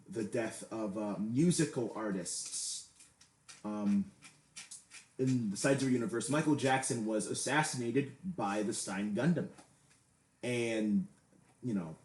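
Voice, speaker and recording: A man's voice: medium pitch, darkish tone. Hesitant, rambling man in an echoey room. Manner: hesitant, rambling, with repeated word stammers. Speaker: amateur animation creator and video host. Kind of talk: unscripted explanatory monologue. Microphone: computer microphone. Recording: roomy, echoey home PC recording.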